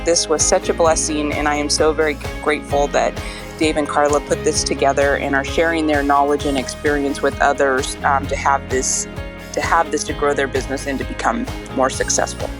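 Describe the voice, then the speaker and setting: A woman with a low voice gives an earnest, grateful testimonial. Clear background music plays behind her as she speaks. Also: level tone, brisk. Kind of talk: recorded testimonial.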